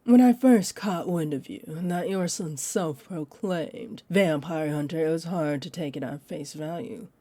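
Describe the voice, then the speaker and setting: A woman's voice: low voice, mellow, no echo. An actress playing a teasing, possessive female vampire in an audio roleplay, condescending and confident, speaking straight to the listener. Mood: polite, sly. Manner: smug, taunting, unhurried.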